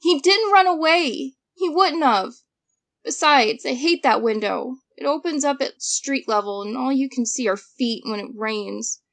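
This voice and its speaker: A woman's voice: high voice, animated, a little slow, fairly bright tone, heavily acted. A woman playing an anxious, defensive twelve-year-old girl in an audio drama, earnest and hesitant. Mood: worried, earnest.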